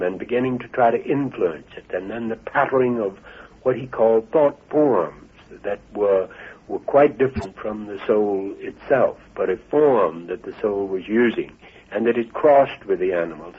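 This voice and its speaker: A man answering interview questions hesitantly, with many pauses and restarts. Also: on the low side, slightly rough. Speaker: interview guest. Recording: narrow lo-fi radio broadcast.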